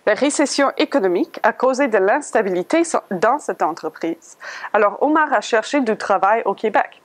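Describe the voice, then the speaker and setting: A woman's voice: fairly high pitch, expressive, normal speed. Woman narrating a museum tour. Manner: reads a prepared script, posing questions. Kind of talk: scripted guided-tour narration.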